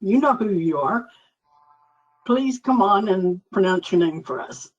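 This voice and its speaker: A woman's voice: medium pitch, animated, a little slow, lo-fi sound. A woman with a Texan accent, co-hosting and calling up the next guest in a folksy, laid-back way. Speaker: show co-host calling names.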